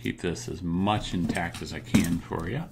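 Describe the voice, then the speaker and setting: Low, gravelly middle-aged man, casual. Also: fairly even intonation, moderate pace. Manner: musing, thinking aloud. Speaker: video host. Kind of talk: casual hands-on teardown commentary. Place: indoors at a tabletop workspace. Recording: noticeable background noise.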